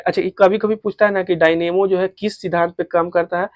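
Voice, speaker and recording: Bihari guy tutoring for exams, slightly high voice, dry sound, asks then answers and repeats stuff, drops in english terms. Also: fairly even intonation, fast talker, no room sound. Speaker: exam coaching teacher. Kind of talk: tutorial explanation of exam questions.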